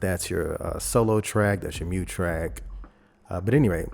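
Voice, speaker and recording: A man presenting a software tutorial in a casual, rambling, conversational way, talking informally through each step. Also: low voice, moderate pace, creaky, a little hiss or hum. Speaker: video editing tutorial host.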